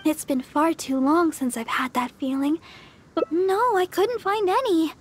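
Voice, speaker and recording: A woman voicing a sweet, earnest young church deaconess in a video game, speaking politely, gently and hesitantly. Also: very high voice, measured pace. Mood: wistful. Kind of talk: video game character dialogue.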